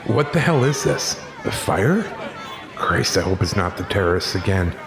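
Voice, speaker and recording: A man's voice: deep voice, very animated, normal speed. A man playing a blunt, sweary New York police officer with a commanding manner in an audio drama. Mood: alarmed, urgent. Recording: noisy street and crowd sound effects.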